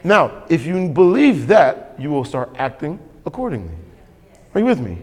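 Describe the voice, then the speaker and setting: A man's voice: a little high, sing-song, moderate pace, a little mellow, husky. A man preaching a sermon as pastor, emphatic and repetitive, calling for responses from the congregation. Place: church sanctuary. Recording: long hall reverb.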